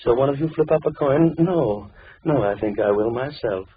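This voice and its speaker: An actor playing a deranged killer with a falsely gentle, courtly, drawn-out menace, in the theatrical style of 1940s horror radio. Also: male voice, medium pitch, expressive, lightly acted. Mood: coldly patient. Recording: tinny, noisy vintage radio recording.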